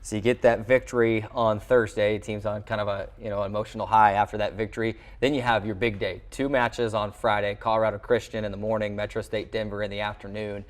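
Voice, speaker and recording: A man's voice: lowish voice, flat delivery, fairly quick, few pauses. A man hosting a sports interview show in a television studio, with a wordy broadcaster's way of presenting.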